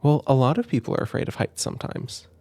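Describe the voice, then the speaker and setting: Gentle man with lively pitch and gravelly edge. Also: fast talker, no room sound, clean studio sound. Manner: gentle, doting, playful. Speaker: audio roleplay performer playing a caring big brother. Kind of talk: soft ASMR roleplay, reading a children's book aloud. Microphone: close microphone, dry room.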